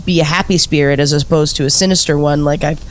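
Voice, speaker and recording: A woman's voice: deep voice, fairly even intonation, fast, close to an everyday voice. A woman playing an earnest, polite investigative journalist in a naturalistic audio play, speaking in a hesitant, friendly, eager way.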